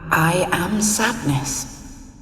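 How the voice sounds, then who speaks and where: An actress playing the sorrowful, fragile ghost of a dead wife in a video game, speaking in a wistful, halting, hushed manner. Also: female voice, fairly low pitch, slight background noise. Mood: mournful, dreamy. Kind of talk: dramatic video game acting. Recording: echoey hall reverb, distant, faint music.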